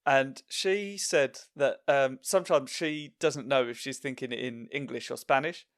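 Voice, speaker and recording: A man hosting a podcast, chatting casually with a guest, full of fillers and quick agreeing laughs. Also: medium pitch, a bit bright. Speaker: podcast host.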